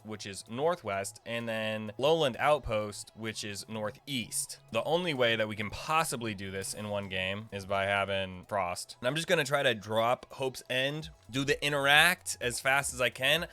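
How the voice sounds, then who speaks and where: A man's voice: mid-range voice, normal speed, few pauses, pushed and bright. A young man giving casual, informal game guide commentary, rambling and thinking aloud as he plays. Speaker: gaming video creator. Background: faint game music.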